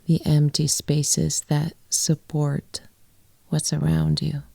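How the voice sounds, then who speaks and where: A woman guiding a yoga nidra meditation in a soft, breathy, often near-whispered voice, with gentle phrases and long pauses. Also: low-pitched, monotone, dry recording. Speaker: yoga nidra teacher and guide. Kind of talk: guided meditation, soft and soothing.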